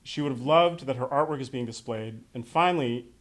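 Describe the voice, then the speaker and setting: A man's voice: mid-range voice, fairly quick. Heartfelt American man giving a eulogy. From Western New York State, United States. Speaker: son giving opening remarks at a memorial. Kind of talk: prepared eulogy speech to an audience.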